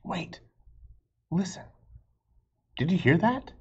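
A man voicing stubborn, childlike bickering friends from a picture book, excitable and exaggerated. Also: high-pitched, takes it slowly, husky, dull audio, voice pushed up, full character voice. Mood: excited bickering. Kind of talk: children's picture-book dialogue read aloud.

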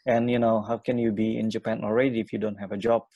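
A young man from Indonesia, an English teacher, answering interview questions quickly with many fillers and restarts. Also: fairly low pitch, flat delivery, vocal fry, mellow, no room sound, highs cut off. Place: small room at home.

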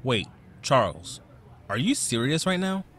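A man's voice: mid-range voice, sing-song, a little slow, fairly bright tone, a little hiss or hum. An actor playing an earnest, cautious, conciliatory young man in an audio drama. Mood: concerned. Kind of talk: podcast audio drama acting.

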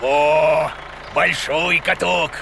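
An actor playing a boastful, clueless bearded creature teacher in a children's cartoon, exclaiming theatrically and self-importantly. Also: male voice, on the high side, fairly even intonation, very slow, gravelly, noisy background, full character voice. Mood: huffy, stubborn. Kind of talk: animated children's cartoon voice acting.

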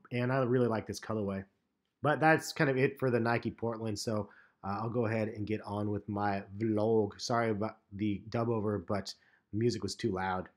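A man in his thirties giving a relaxed, chatty sneaker review in a vlog, with plenty of ums and uhs. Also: medium pitch. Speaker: sneaker vlogger. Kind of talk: casual vlog commentary. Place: parked car, and voice-over on store footage.

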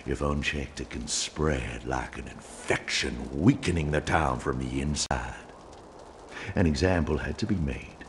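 An actor playing an older, righteous and controlling cult pastor in a game, preachy and deliberate. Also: male voice, very deep voice, gravelly, slight background noise, heavily acted. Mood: cold menace. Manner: preachy, deliberate, biblical phrasing. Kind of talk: dramatic game cutscene acting.

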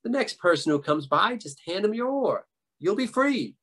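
An eager boy adventurer in a spoken folktale, voiced by a man. He sounds earnest and hurried, repeating himself, in a fairly high male register. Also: sing-song, fairly bright tone, slightly rough, exaggerated voice. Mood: assured.

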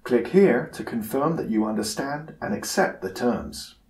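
A man giving a scripted software tutorial narration in a measured, instructional manner. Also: mid-range voice, very animated, reverberant.

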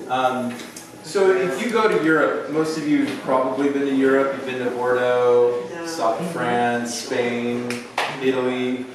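A middle-aged man from Southern California talks guests through a wine tasting in a relaxed, rambling way full of fillers. He sounds far from the camera in a very echoey room. There is steady noise in the background. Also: medium pitch, a little slow. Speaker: winemaker giving a tasting. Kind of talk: casual tasting talk to guests.

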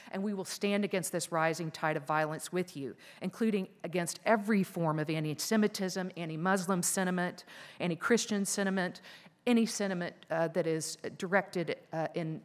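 A woman in her fifties giving a formal prepared keynote speech from a lectern on a conference stage, in a measured, earnest delivery. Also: lowish voice. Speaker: keynote speaker. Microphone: lectern microphones. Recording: reverberant conference hall.

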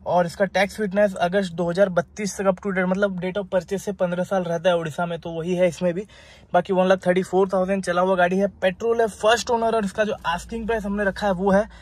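High-voiced man, even sales patter. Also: dry recording. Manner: persuasive sales patter mixing in English terms. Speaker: used-car dealer presenting a car. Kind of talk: unscripted sales walk-around. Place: outdoors by a roadside lot and inside a car. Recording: clear outdoor ambient and vehicle noise.

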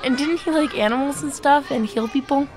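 A woman's voice: high-pitched, brisk, a crowd in the background, lightly acted. An actress playing a friendly, inquisitive young girl in an audio drama. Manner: friendly, curious.

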